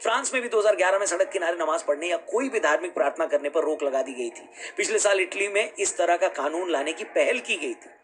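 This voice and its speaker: Higher-pitched man, emphatic explainer. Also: lively intonation, fast talker, hardly any pauses, a bit bright. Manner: emphatic, rhetorical questioning, addresses viewers directly. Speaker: video commentary presenter. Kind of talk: scripted explainer commentary.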